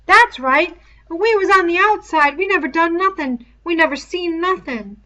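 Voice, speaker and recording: A woman voicing an anxious, stubborn schoolboy in a storybook, shrill and defensive, with ungrammatical boyish speech. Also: normal speed, sharp tone. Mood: stubborn, eager. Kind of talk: storybook read-aloud character voice.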